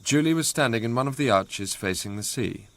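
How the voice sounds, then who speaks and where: A man's voice: mid-range voice, animated, brisk, a bit bright. An actor playing a reflective young man, narrating his own past in an understated, introspective way for radio drama. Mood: hushed, reflective. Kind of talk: scripted first-person radio drama narration.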